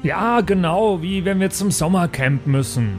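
A man's voice: high voice, expressive, unhurried. A man chatting casually in character as a player in an improvised tabletop game. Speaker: tabletop role-play player. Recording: background music under the voice.

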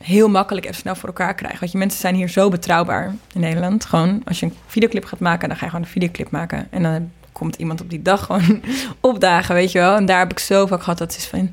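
A woman's voice: on the low side, steady tone, quick pace, few pauses, breathy edge. A woman chatting casually in an interview, with an informal, rambling way of talking. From Netherlands. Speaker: singer and producer being interviewed.

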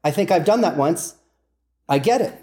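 A man talking casually as a guest, telling things in an informal, halting way and correcting himself as he goes. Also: a little high, lively intonation, crowd noise. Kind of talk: casual conversational storytelling.

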